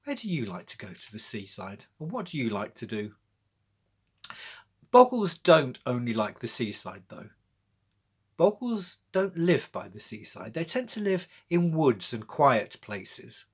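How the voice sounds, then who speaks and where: A man's voice: mid-range voice, sing-song, a little slow, husky. A man reading children's poems and chatting about them, speaking directly to young listeners and asking them questions. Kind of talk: children's poetry reading with friendly explanatory chat.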